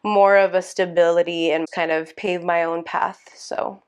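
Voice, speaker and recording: A woman's voice: lowish voice, flat delivery, a little slow, smooth. A woman around thirty from California's San Fernando Valley, talking casually and hesitantly as a podcast guest, with many fillers and small laughs. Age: about 30. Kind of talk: casual interview chat.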